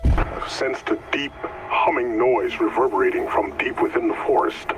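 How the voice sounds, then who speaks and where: A man playing a grave, uneasy companion in a sci-fi video game, speaking in a measured, formal and earnest way. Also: mid-range voice, over music, close to an everyday voice. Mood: grave, concerned. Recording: noisy ambience behind voice.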